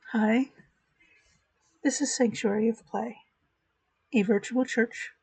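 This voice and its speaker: A woman hosting a virtual church chat, giving a calm, reflective spoken meditation. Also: fairly high pitch, breathy edge, no echo, poor audio.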